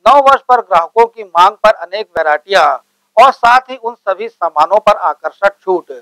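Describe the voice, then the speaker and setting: A man's voice: sits high, expressive, moderate pace, sharp tone, no room sound, rough recording. A man anchor reading a scripted news intro in a formal announcer manner in a studio.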